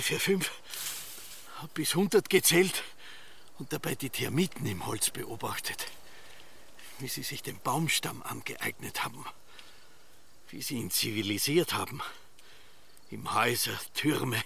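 Casting: brooding Austrian farmer dad, gravelly, forceful edge, solemn archaic storytelling for radio drama, faint ambient noise. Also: male voice, mid-range voice, heavily acted. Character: brooding farmer father lost in memories of grandfather. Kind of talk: theatrical radio drama monologue.

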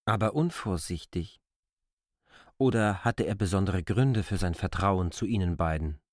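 A man's voice: sits low, unhurried, no room sound, clean studio sound. A man playing a shrewd, persistent newcomer in a literary radio drama, probing in formal, argumentative speech. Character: persistent, shrewd male newcomer questioning a landlady.

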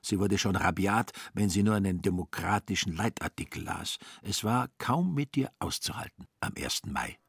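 A man narrating a literary radio play in a measured, dryly ironic way. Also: deep voice, animated, slightly rough, dry recording, studio quality.

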